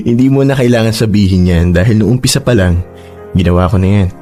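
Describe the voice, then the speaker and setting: A young man voicing a devoted boyfriend and engineering student in a Tagalog audio drama, soft, giggly and earnest. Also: lowish voice, big pitch swings, brisk, soft background music. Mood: tender. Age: young adult.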